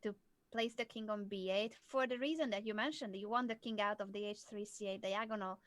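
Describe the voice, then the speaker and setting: A woman's voice: mid-range voice, a little fast, fairly bright tone, no echo. Dry-sounding woman explaining chess. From southern Hungary. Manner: clear, patient explaining, laughs easily. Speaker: chess coach. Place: home, live stream.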